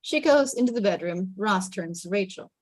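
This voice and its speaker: A woman narrates stage directions at a script table read. Her voice sits at a middle pitch, and the sound is dry with no room echo. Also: animated, normal speed.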